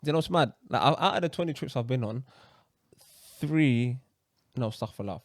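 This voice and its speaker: A man, co-hosting a casual podcast and defending himself in animated banter. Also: mid-range voice, fairly quick, dry recording, clean studio sound. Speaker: podcast co-host.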